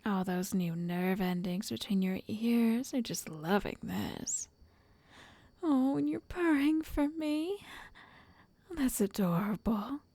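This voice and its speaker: Breathy whispering witch, played by a woman, slow and doting. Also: fairly high pitch, dry recording. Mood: doting, affectionate. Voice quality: soft, breathy, largely whispered. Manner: slow, coaxing, intimate, addressing the listener. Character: possessive, doting yandere witch, sweetly menacing. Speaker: ASMR roleplay performer. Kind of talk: ASMR roleplay.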